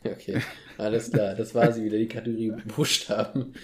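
A man's voice: on the low side, flat delivery, fairly quick, darkish tone. A young man in casual, humorous podcast banter, talking in youthful slang with playful exaggeration. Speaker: podcast co-host.

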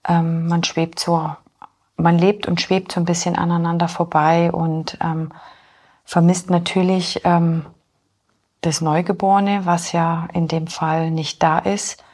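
Lowish husky woman, 40s, kinda halting, lots of ums. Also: little pitch movement, a little mellow. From born in Poland, grew up in Germany. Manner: hesitant, many filler pauses. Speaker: guest. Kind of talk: personal interview talk.

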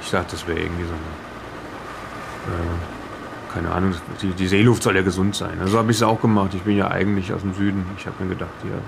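A man's voice: sits low, flat delivery, brisk. A man playing a chatty, nosy aging fisherman in an improvised audio drama, rambling and repeating himself. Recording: clearly noisy background, vehicle-like ambience.

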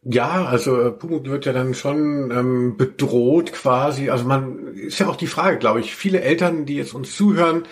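A man's voice: medium pitch, normal speed, hardly any pauses. A man chatting casually and humorously as a podcast host, with wry, joking asides. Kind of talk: casual humorous podcast chat about audio dramas.

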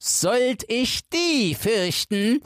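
A man voicing a boastful little tailor king from a fairy tale, commanding and drawn-out as he talks in his sleep. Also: very animated, no echo, clean studio sound, full character voice. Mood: boastful, threatening. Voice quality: bright, high-pitched put-on voice.

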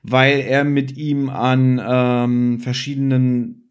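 A man's voice: medium pitch, little pitch movement, slow pace, clean tone. A man hosting a podcast in casual chat, explaining things discursively with asides and little tag questions. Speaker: podcast host.

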